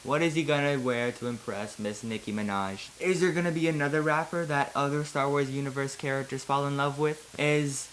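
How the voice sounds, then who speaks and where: A woman's voice: rumbling low, fairly even intonation, fairly quick, few pauses, slight background noise, rough recording. Female video host, theatrical and giggly, moving between mock-dramatic story reading and casual remarks.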